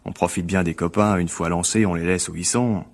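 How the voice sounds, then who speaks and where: An actor playing a bitter, sarcastic failed actor turned critic in a French radio drama, needling with false cheer. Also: male voice, low voice, fairly even intonation, fairly quick. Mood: resentful.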